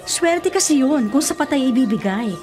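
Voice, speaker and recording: A woman playing a bossy, superstitious older sister in a radio drama, scolding, insistent and quick to defend herself. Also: sits high, lively intonation, a little mellow. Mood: indignant. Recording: prominent music behind the voice. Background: music.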